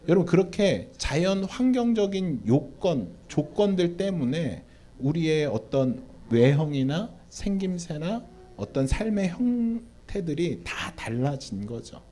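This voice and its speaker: A man's voice: on the high side, sing-song, unhurried, mellow. A man who is a pastor, answering questions at church in a preaching style, often addressing the audience and answering his own questions.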